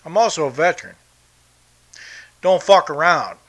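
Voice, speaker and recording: A man from the United States hosts his own podcast, delivering a blunt, profane political rant. His voice sits a bit high and sounds bright and forceful. He talks in a combative way that never lets up. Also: animated, moderate pace. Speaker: podcast host. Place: home in Brazil.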